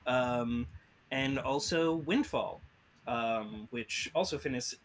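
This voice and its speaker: A man who works as a voice actor, chatting casually about his projects in a halting, word-searching way. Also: mid-range voice, a little hiss or hum, rough recording. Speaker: voice actor, guest on a panel. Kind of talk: casual chat, plugging projects.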